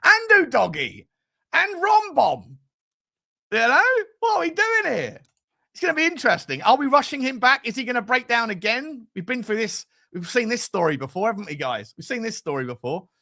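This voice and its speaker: A high-pitched British man in his forties with a bright, forceful and gravelly voice. He hosts a football fan livestream, rambling from one jokey aside to the next. His talk is casual, slangy and sweary, aimed straight at the people commenting. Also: big pitch swings, moderate pace. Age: 40s. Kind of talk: casual livestream chat, reading and answering comments.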